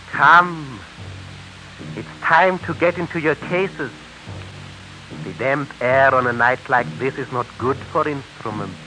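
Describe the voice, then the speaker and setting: A man's voice: very high-pitched, heavily acted. A man acting a tormented, murderous man driven mad by music, in a theatrical 1940s radio drama style. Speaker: lead actor in a radio play. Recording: muffled old radio broadcast with loud music.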